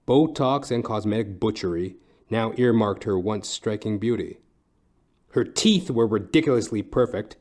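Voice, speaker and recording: A man plays a hardboiled, down-at-heel private detective in a comic noir audio play. His voice sits fairly low, and he delivers his wry lines deadpan, with measured dramatic pauses. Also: lightly acted.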